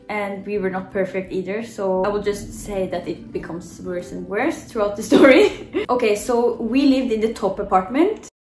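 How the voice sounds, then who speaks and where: A young woman from Norway with a Norwegian accent, chatting to camera in a living room, telling a story with lots of "you know" and quick laughs. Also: medium pitch, a little mellow, echoey room. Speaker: vlogger.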